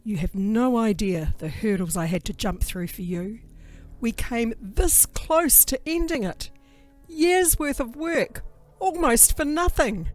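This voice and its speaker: A woman's voice: fairly high pitch, expressive, slow pace, a little mellow, soft background music. A woman voicing a stern, bitter dragon mother in an audio drama, emphatic and reproachful. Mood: angry reproach. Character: stern, bitter female dragon mother scolding her daughter. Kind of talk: fan audio drama acting.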